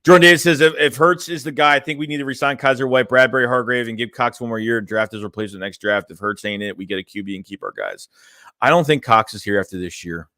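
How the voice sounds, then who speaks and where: Bright-voiced casual sports fan host. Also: male voice, medium pitch, quick pace, dry recording, clean studio sound. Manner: loose, rambling, lots of "man" and "dude". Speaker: fan channel host reading live chat. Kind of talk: casual livestream chat.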